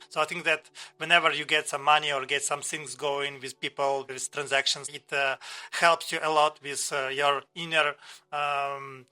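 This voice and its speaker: A man in his fifties hosting an interview, speaking haltingly with many fillers, restarts and non-native phrasing. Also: on the high side, pushed and bright. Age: 50s. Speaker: host. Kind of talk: casual interview talk.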